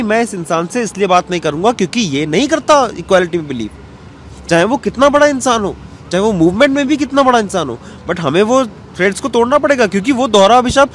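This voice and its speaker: High-voiced man talking earnestly in an interview. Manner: mixes English words and phrases into speech. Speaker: interview guest, cartoonist. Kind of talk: casual, earnest discussion. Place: outdoors in an open courtyard. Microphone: handheld small microphone. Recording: faint outdoor street background noise.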